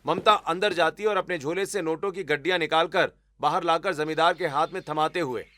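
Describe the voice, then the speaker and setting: A man's voice: on the high side, a little fast, sharp tone. A man narrating a scripted moral story as the storyteller.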